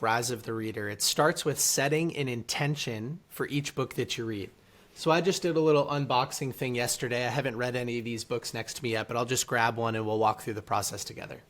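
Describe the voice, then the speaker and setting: Earnest man with a mid-pitched voice. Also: a bit bright. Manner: earnest, motivational. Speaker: guest, author and entrepreneur. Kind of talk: casual interview storytelling.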